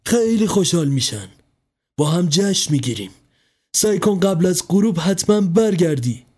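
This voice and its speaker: A man's voice: sits high, lively intonation, darkish tone, voice pushed up. A man voicing an anxious, loving middle-aged mother who pleads with her son in a storybook reading. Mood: pleading. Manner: pleading, rising and tearful.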